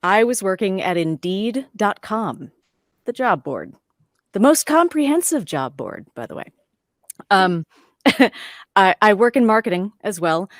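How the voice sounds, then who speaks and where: A woman's voice: mid-range voice, big pitch swings, measured pace, no echo. Woman chatting casually, close dry sound. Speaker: voice actor, panel guest. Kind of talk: casual chat, personal storytelling.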